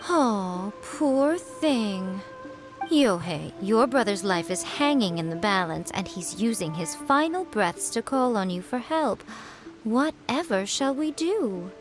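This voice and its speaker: A woman voicing a sly fox-spirit shrine priestess in a video game, with a silky, mocking, unhurried delivery. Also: medium pitch, lively intonation, over music. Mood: mock pity.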